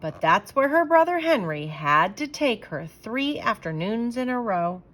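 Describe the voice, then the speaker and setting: A woman reading a children's storybook aloud as an expressive storyteller, with emphatic phrasing. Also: on the high side, a little slow.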